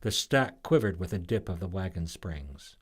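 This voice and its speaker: Storybook narrator: male, lower-pitched, lively and varied delivery. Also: normal speed, slightly rough. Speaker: author reading own story.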